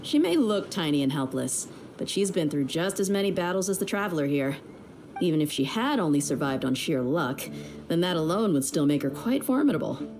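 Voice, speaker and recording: A blunt, low-voiced mercenary captain. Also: female voice, big pitch swings, a little fast, darkish tone, slight background noise. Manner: frank, easygoing, teasing. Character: tough, blunt female mercenary leader, young adult. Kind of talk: video game cutscene dub.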